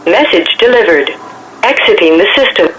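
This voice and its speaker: A woman's voice: mid-range voice, lively intonation, moderate pace, a bit bright. Automated female voicemail prompt, noisy line. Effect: telephone line. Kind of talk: scripted phone menu prompts.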